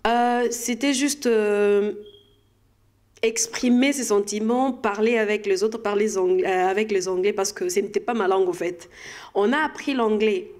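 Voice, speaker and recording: A woman's voice: medium pitch, measured pace, a little mellow. Congolese-accented woman, hesitant interview guest. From Democratic Republic of the Congo. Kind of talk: conversational interview answers.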